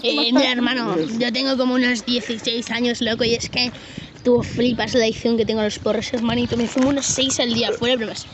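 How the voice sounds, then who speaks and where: Female, high-pitched, flat delivery, street slang, noisy voice-message audio with clicks. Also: fast. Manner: street slang, familiar, addresses listener as bro.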